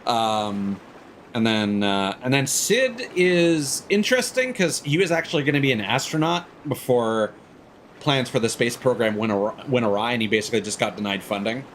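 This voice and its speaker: A man's voice: mid-range voice, animated, moderate pace, slight background noise. A man hosting a game livestream, giving rambling, opinionated explanations in a casual, chatty way.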